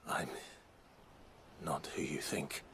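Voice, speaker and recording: Brooding, low-voiced young noble warrior. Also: male voice, steady tone, noisy background. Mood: gentle, solemn. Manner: grave, measured. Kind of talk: dramatic video game acting.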